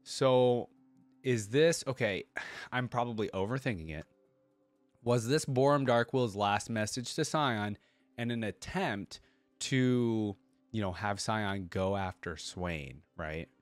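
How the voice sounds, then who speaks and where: A man's voice: lowish voice, slow pace, dry recording, faint music behind. A man giving casual reaction commentary as a video host, thinking aloud in a conversational way.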